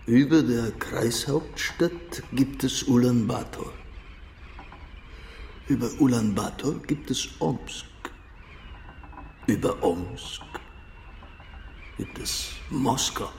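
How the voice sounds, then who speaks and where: Naive provincial man, ponderous and gravelly, extremely slow, reverberant radio play. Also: medium pitch, a little mellow. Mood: wondering. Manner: halting, ponderous, deliberate. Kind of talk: radio drama acting. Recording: reverberant, noisy ambience.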